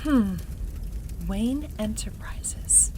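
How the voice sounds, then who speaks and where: A woman's voice: on the high side, drawn-out words, warm tone, slightly rough, whispered, exaggerated voice. A woman playing a seductive, vengeful plant goddess villain in an audio drama, declaiming in a grand theatrical way. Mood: sultry, scheming.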